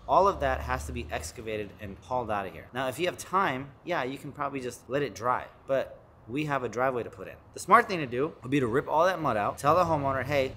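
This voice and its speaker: A man's voice: mid-range voice, a bit bright, slight background noise. A man in his thirties talks straight to a close camera with an ordinary mid-range voice. He is brash and emphatic, swearing freely and hammering his points by saying them twice. It sounds like an unpolished business vlog. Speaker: landscaping business owner and vlogger. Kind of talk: blunt business advice vlog. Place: home office with studio monitors, also outdoors and in a truck.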